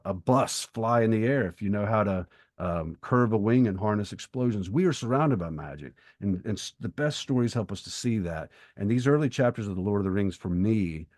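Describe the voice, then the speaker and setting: A man co-hosting a podcast in casual literary discussion, speaking in a reflective, halting way with emphatic pauses. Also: fairly low pitch, animated, a little mellow, no echo, clean studio sound. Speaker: podcast co-host.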